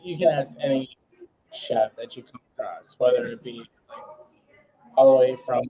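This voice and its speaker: Laid-back man in his thirties. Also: mid-range voice. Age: 30s. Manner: relaxed, many fillers like "you know". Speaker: chef guest. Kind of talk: casual interview chat. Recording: muffled lo-fi audio.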